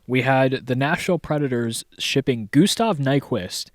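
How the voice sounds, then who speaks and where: A man's voice: mid-range voice, normal speed, dry recording, studio quality. A young man co-hosting a casual sports podcast who talks bluntly and swears freely. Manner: blunt and profane. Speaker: podcast co-host.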